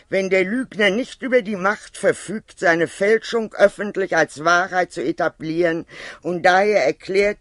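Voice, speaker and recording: Male reader, high voice, measured and emphatic essay delivery, dry close sound. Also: a bit bright, dry recording. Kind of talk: formal reading of a political-philosophical essay.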